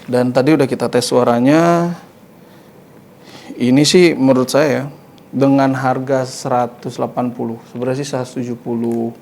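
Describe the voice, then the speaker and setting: A man's voice: mid-range voice, darkish tone, slight background noise. A man hosting a casual hands-on motorcycle gear review, informally thinking aloud as he demonstrates. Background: music.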